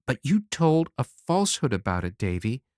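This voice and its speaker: A reader voicing a gentle, earnest young schoolteacher woman who speaks softly, in an audiobook. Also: medium pitch, sing-song, moderate pace, dry recording, clean studio sound, close to an everyday voice. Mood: sorrowful. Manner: soft, sorrowful, earnest. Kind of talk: audiobook character voice.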